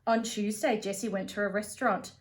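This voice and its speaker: A woman reading a children's picture book aloud as a storyteller, with an expressive, deliberate delivery. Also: medium pitch. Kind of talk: read-aloud for young children.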